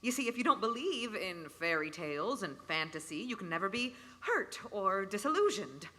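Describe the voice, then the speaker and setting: A woman's voice: medium pitch, big pitch swings, moderate pace, bright, forceful tone. An actress playing a practical working mother, polite but firm and easily exasperated, in a live radio play. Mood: firm, defensive.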